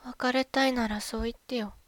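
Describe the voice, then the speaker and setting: A woman acting a loving but jealous, suspicious young wife in an amateur radio drama, expressive and often talking to herself. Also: fairly high pitch, moderate pace, dry recording, close to an everyday voice. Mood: accusing. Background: music.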